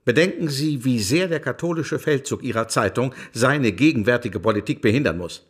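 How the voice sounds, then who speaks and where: An actor in a historical German radio drama playing a calculating, self-interested politician with a sly, insinuating manner. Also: male voice, mid-range voice, lively intonation, moderate pace, husky. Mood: calm, cautioning.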